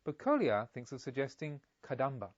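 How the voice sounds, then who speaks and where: A man's voice: medium pitch, sing-song, normal speed, dry recording, highs cut off. A man voicing a polite, carefree young merchant's son in an audiobook, with eager, formal speech. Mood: polite, enthusiastic. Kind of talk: audiobook character dialogue.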